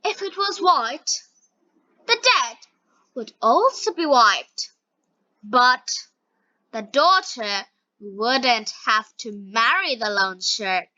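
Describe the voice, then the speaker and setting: A woman reading scripted story narration for language learners, word by word with frequent pauses. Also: on the high side, sing-song, bright, forceful tone, dry recording, lo-fi sound.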